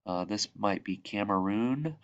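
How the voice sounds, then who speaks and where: A man reading a children's picture book aloud in measured phrases that drop softly at the ends of sentences. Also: on the low side, expressive, clear, resonant tone, muffled recording. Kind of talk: read-aloud storybook narration.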